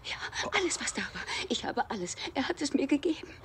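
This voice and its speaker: A woman acting a desperate, frightened widow in a German radio drama, breathy and halting, often dropping into intense whispers. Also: high voice, sing-song, slight background noise. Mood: urgent pleading. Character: desperate, frightened widow fleeing after a death.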